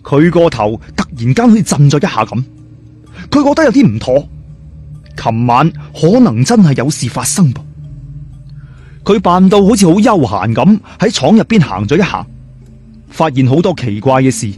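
Animated Cantonese male storyteller, fairly high voice. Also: normal speed, pauses often, faint music behind. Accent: Hong Kong or Guangdong Cantonese. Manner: dramatic, suspenseful storytelling delivery. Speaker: storyteller, narrator. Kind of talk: scripted horror story narration.

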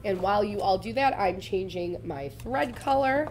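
A woman, slightly raspy from laryngitis, giving a chatty, upbeat live sewing tutorial in a studio. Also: mid-range voice, expressive, normal speed, darkish tone, a little hiss or hum. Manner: chatty, upbeat, addresses viewers directly. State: recovering from lost voice. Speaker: embroidery demonstrator. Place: studio set with shelves, indoors.

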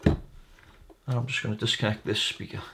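A man's voice: fairly low pitch, steady tone, normal speed, gravelly, very noisy. A man hosting a repair video, casually narrating his hands-on work at a bench, thinking aloud in a tentative way. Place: indoor workbench.

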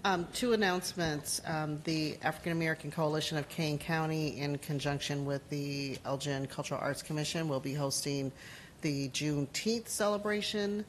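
A woman's voice: low voice, fairly even intonation, rough recording. Councilwoman, forties, hesitant delivery full of fillers, speaking into a desk mic in a council chamber with faint hum. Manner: halting, many ums and uhs. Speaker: city council member. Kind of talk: formal meeting announcements. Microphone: desk gooseneck mic.

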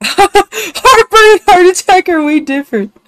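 A woman's voice: very high voice, lively intonation, unhurried, low-quality recording. A woman chatting casually while she streams a game. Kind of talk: casual chat while gaming.

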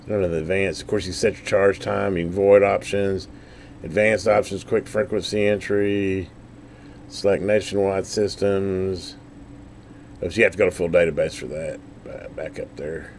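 Man, low voice, casual unscripted demo, folksy, rambling, clear background noise. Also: steady tone, moderate pace, a little mellow. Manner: rambling, folksy, thinking aloud. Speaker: scanner hobbyist giving a product overview.

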